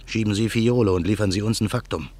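An actor playing an embittered man who waits through the night for revenge, speaking his inner monologue slowly and in a hushed voice in a radio play. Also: on the low side, close to an everyday voice. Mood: brooding. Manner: slow, brooding, hushed. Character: embittered man awaiting revenge, male adult. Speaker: narrator and main character.

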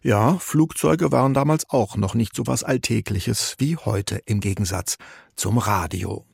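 A man's voice: fairly low pitch, measured pace, creaky, slightly rough. A male radio host reading scripted narration with light, wry asides.

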